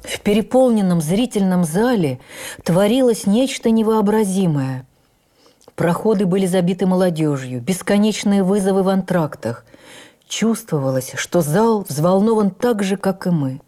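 A woman playing an actress who recalls her life in the theatre, narrating in the first person for radio drama in an expressive, measured, literary way. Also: mid-range voice, natural voice. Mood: earnest, reflective. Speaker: actress reading a stage memoir. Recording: radio broadcast with faint music.

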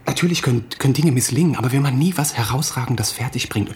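An actor as an insecure, touchy young writer. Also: male voice, medium pitch, a little hiss or hum, lightly acted. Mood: anguished. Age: young adult. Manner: halting, repeats words, accusing. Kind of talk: radio play acting.

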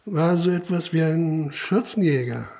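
Radio drama: persistent inspector, lighter-pitched, husky, measured and insistent. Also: male voice, expressive, mellow. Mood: probing. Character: probing, persistent male police inspector telling of his case. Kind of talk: radio play dialogue and narration.

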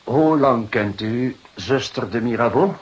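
An actor playing a shrewd police detective in a Dutch radio drama, speaking deliberately and with dry irony. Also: male voice, medium pitch, muffled recording, lightly acted. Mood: probing. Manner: deliberate, probing, dryly ironic.

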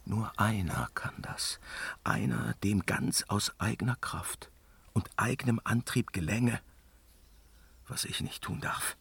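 A man's voice: lowish voice, very animated, slow pace, vocal fry, fairly bright tone, breathy edge, no echo, full character voice. An actor playing a weary, tormented, commanding father god in a fantasy radio drama, solemn and brooding, slipping into whispers. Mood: brooding, defeated. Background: dramatic music.

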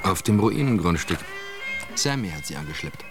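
A man's voice: deep voice, very animated, moderate pace, music in the background. An actor playing a troubled, restless man in a German radio drama, urgent and hesitant. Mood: uneasy, insistent. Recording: clear ambient noise behind voice.

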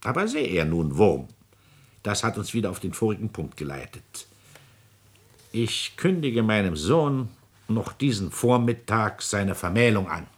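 A man's voice: fairly low pitch, big pitch swings, measured pace, breathy edge, full character voice. An actor playing a scheming, domineering aristocratic father and minister in a classical radio drama, with imperious, calculating, declamatory delivery. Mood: stern, commanding.

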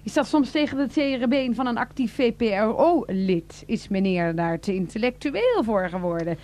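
Woman playing high-voiced, sharp-tongued pious wife. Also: lively intonation, moderate pace, slight background noise, lightly acted. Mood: indignant, taunting. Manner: insistent, sarcastic, taunting. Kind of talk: satirical radio play acting.

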